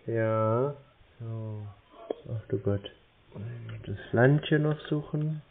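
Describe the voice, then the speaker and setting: A man's voice: medium pitch, extremely slow. A man in a casual phone conversation, giving short, naive-sounding replies. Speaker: prank caller posing as an interested customer. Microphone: phone call. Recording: tinny narrowband phone line.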